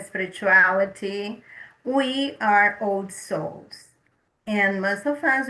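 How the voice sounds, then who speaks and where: A woman hosts a spiritual talk show in a slow, gentle way, now and then stumbling over her words. Her voice is bright and sits a little high. It rings in an echoey room. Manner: gentle, unhurried, occasional stumbles. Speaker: host.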